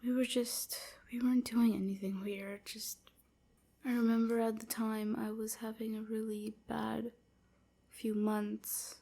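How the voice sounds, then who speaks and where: A woman playing a melancholy vampire slave in an intimate roleplay audio, confiding softly and hesitantly with a breathy delivery. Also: on the high side, level tone, unhurried. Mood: somber, reminiscing. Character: weary, melancholy female vampire slave, confiding her past.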